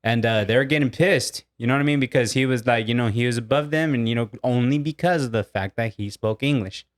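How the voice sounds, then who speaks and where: A man's voice: mid-range voice, a little fast. Casual young man telling a story. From Mexico. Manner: loose storytelling, lots of fillers. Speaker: podcast co-host. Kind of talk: casual podcast chat.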